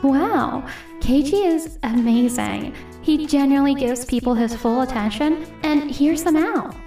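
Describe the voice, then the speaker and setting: Eager young female designer for a manga dub, played by a woman, high and sweet, admiring. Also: measured pace, dark tone. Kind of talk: anime-style manga dub acting. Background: music.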